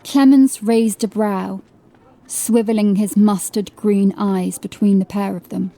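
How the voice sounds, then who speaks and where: Low-pitched, mellow woman narrating horror fiction. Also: fairly even intonation, measured pace, gravelly, poor audio.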